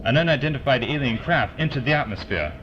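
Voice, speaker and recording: A man's voice: medium pitch, lively intonation, fast, dull audio, noisy background, lightly acted. A man playing a serious, plain-spoken character in a sci-fi audio drama. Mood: urgent, formal.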